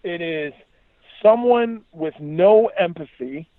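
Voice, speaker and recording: Male, higher-pitched voice, emphatic and opinionated, slangy podcast guest, tinny phone-like sound. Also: animated, takes it slowly, dry recording. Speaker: podcast guest, musician and writer. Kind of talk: animated ranting conversation. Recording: thin phone-like line.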